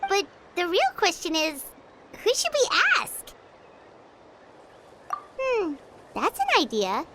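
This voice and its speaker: A woman voicing a tiny, excitable, cheeky fairy sidekick with a squeaky childlike voice in an anime game. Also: slow pace, very noisy, heavily acted. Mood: curious, eager. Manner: refers to self in third person, exclamatory. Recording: loud game music behind. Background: game music.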